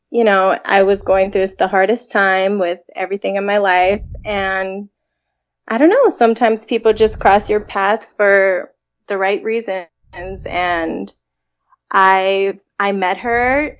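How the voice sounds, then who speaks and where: A woman's voice: mid-range voice, highs cut off. A young woman co-hosting a podcast in an informal, conversational way, chatting casually. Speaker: podcast co-host. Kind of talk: casual chat.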